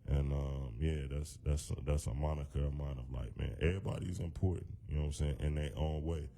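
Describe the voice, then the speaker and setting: A man with a very deep voice, a hip-hop artist talking as a guest in a radio studio in a loose, laid-back way full of fillers. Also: steady tone, brisk, gravelly, mellow. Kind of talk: casual radio interview.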